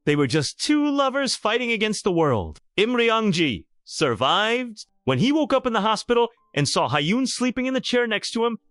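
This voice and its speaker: A man's voice: sits high, very animated, moderate pace, hardly any pauses, a bit bright, no room sound, clean studio sound. A man narrating a scripted romantic drama in a theatrical, emphatic storytelling style.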